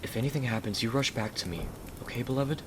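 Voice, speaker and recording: A man playing a gentle, devoted young Victorian gentleman husband in an ASMR roleplay, speaking earnestly and courteously. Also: mid-range voice, animated, quick pace, noisy background, natural voice. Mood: tender, concerned.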